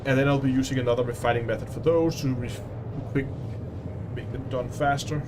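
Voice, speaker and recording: A man's voice: medium pitch, fairly even intonation, a little fast, background noise. A man with a Danish accent hosting a casual gaming livestream, chatting with viewers and laughing often. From Denmark. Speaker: livestream host.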